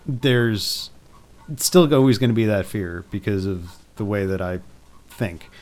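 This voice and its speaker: A man in his early forties, a podcast co-host, talking in casual chat in a halting, hesitant way full of restarts. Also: lowish voice, a little mellow. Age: 40s.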